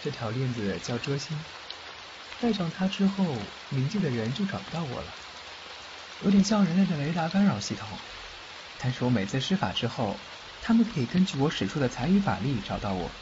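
A man acting a fugitive underworld official and doting, cocky godfather in a fan audio drama, expressive and protective. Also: medium pitch, brisk, natural voice. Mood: animated, explaining. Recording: muffled, faint background noise, rain-like ambience.

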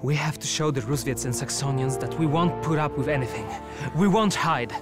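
A man's voice: fairly high pitch, a little fast, close to an everyday voice. A man playing an idealistic young older brother in a video game, resolute yet tender. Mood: defiant. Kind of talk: cinematic video game acting. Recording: music under the voice.

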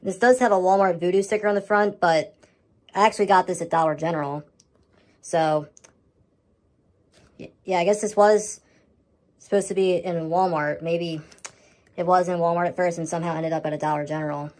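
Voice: mid-range voice, fairly even intonation, moderate pace, pauses often. An American speaker talking casually to a handheld camera indoors at home, showing items in a vlog update. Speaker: host of a home media collection update. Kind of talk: casual show-and-tell vlog.

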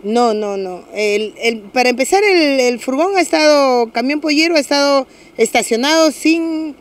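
A middle-aged woman with a Peruvian accent, a municipal security official giving a measured, official statement to reporters outdoors on a street, hesitating with fillers and restarts. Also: sits high, expressive. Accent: Peruvian Spanish. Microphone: several handheld press mics.

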